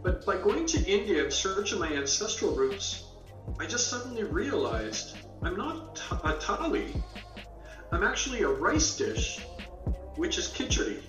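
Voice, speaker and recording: A man's voice: sits high, sing-song, measured pace, over music. Distant echoey man telling stories. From born in England, raised in Canada. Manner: explains with extended metaphors, acts out quoted dialogue. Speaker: author and guest. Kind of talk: storytelling interview answers.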